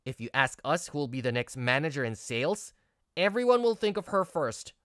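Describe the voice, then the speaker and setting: A woman's voice: low-pitched, brisk, dry recording, clean studio sound. A woman voicing an earnest, stressed young male office worker, narrating and acting in a manga video. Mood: reflective. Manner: earnest, expressive. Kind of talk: first-person narration and dialogue in manga video.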